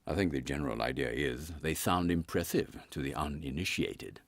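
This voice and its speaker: A man voicing an anxious, gentlemanly Victorian captain with an English accent and a lisp, formal and easily flustered in an audio comedy. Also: rumbling low, moderate pace, gravelly, no room sound, clean studio sound, voice pushed down, full character voice. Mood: deferential.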